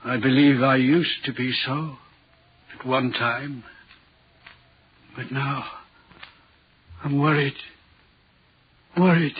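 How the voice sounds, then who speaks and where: An actor playing a lonely, brooding railway signalman in a 1940s radio horror drama, speaking in a grave, halting, guarded way. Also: mid-range voice, steady tone, husky. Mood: brooding, troubled. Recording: muffled, noisy 1940s radio recording.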